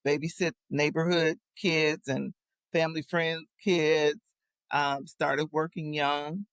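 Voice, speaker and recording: A woman's voice: low-pitched, steady tone, slow pace, no echo. A woman in her fifties, a podcast guest, telling reflective and amused stories in casual conversation.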